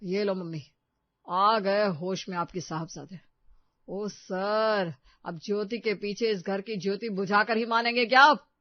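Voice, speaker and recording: A sarcastic brother, played by a man, pitched high and exasperated. He mocks like a character in a comic audio story. The recording is dry and slightly muffled. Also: steady tone, unhurried, dry recording.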